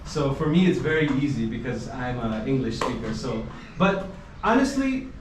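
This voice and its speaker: A travel vlogger talking to a class of students in a school, animated and laughing often, using simple clear wording. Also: male voice, mid-range voice, normal speed, noisy background. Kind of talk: casual talk to a class. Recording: echoey room, from a distance.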